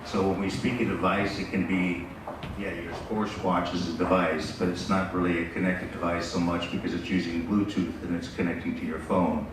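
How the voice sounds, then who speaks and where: Deep, flat-voiced American man in an echoey hall. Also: normal speed. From United States. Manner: relaxed and conversational, with frequent fillers. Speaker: business development speaker. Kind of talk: conference presentation. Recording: echoey hall, distant mic, background noise.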